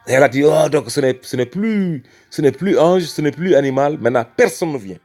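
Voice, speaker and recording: A man's voice: on the high side, animated, brisk, darkish tone. Senegalese guy preaching hard, keeps saying my brother, faint music behind. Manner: emphatic, rhetorical, repeats phrases and addresses the listener as brother. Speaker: religious speaker. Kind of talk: impassioned religious argument.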